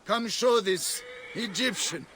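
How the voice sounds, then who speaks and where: An actor playing a cocky, cruel Roman gladiator master in a video game, with a mocking, swaggering manner. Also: male voice, sits high, slow, pushed and bright, slight background noise, exaggerated voice.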